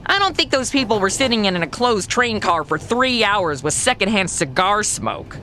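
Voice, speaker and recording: A woman's voice: medium pitch, big pitch swings, normal speed, bright, forceful tone, a little hiss or hum. An actress playing a sassy, flippant modern woman in a comedy audio drama, sarcastic, chatty and full of complaints. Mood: complaining. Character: sassy, flippant modern woman stuck in 1950s noir Cuba.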